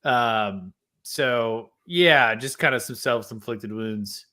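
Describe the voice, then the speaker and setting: A man on a casual podcast who talks hesitantly and fills his speech with ums and likes. His voice is bright and a little tense. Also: mid-range voice, slow pace. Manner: hesitant, lots of fillers. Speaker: podcast co-host. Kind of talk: casual chat.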